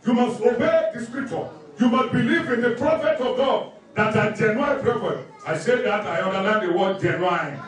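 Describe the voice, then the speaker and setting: A very echoey, distant recording of a man preaching. His voice is pitched extremely high, and he drives each point home with emphatic repetition, like a pastor instructing a congregation. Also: normal speed. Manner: emphatic, repetitive, numbered exhortations with scripture quotes. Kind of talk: sermon. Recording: very echoey room, distant microphone.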